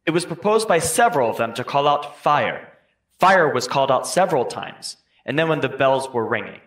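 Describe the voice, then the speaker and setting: A man's voice: mid-range voice, lively intonation, moderate pace, a bit bright, close to an everyday voice. A man playing an 18th-century townsman giving careful, formal testimony in a scripted trial re-enactment. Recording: echoey room.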